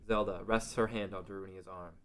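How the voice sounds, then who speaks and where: A man with a fairly low voice narrates a fantasy adventure. He reads scripted stage directions as the narrator of a table read. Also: fairly even intonation, fairly quick.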